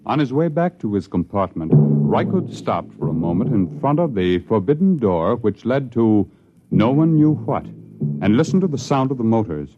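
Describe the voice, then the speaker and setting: An actor playing a grave storyteller, narrating an old-time science fiction radio drama. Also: male voice, on the low side, big pitch swings, moderate pace. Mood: grave, suspenseful. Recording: muffled vintage radio recording over music.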